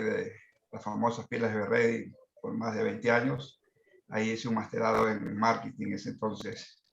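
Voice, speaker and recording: A man in his mid-sixties with an Ecuadorian accent, recounting his work experience in a halting way. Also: mid-range voice, gravelly, slight background noise. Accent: Ecuadorian Spanish. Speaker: masterclass participant, management consultant. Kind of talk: introducing himself and sharing work experience. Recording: muffled, roomy online call audio.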